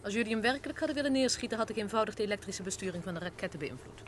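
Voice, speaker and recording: A cold, distant woman under a strange power, as an actress plays her in an old radio drama. She speaks calmly and without feeling, her voice swinging between high and low. Soft hiss lies behind her. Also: racing, close to an everyday voice. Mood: coldly resolute. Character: cold alien-controlled woman, Matt's lost wife. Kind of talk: 1970s radio drama acting.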